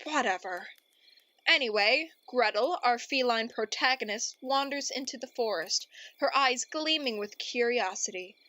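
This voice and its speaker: A woman's voice: on the high side, unhurried, bright, forceful tone, low-quality recording. A woman playing a melodramatic, easily exasperated narrator in a radio play, grandiose and theatrical. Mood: solemn. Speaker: narrator and host.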